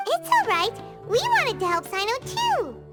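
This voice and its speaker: A woman's voice: very high-pitched, wildly swooping pitch, a little slow, loud music behind the voice, exaggerated voice. A woman voicing a small, chatty female companion creature in a game cutscene, bubbly and eager.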